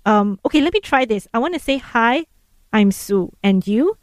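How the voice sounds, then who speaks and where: A woman's voice: on the high side, lively intonation, normal speed, no echo, clean studio sound. A woman learning Mandarin phrases, chatty and self-deprecating, laughing at her own mistakes. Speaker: language learner. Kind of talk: casual language lesson.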